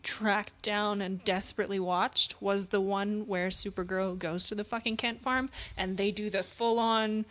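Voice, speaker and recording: A woman co-hosting a podcast in casual chat, excitable and swearing constantly. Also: mid-range voice, a little slow, hardly any pauses. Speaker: podcast co-host.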